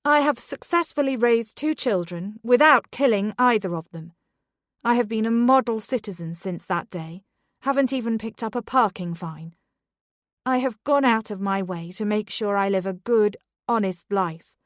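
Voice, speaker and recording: A woman's voice: mid-range voice, animated, a little slow, darkish tone, dry recording, studio quality, close to an everyday voice. A woman voicing a defiant, wounded mother. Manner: firm, insistent.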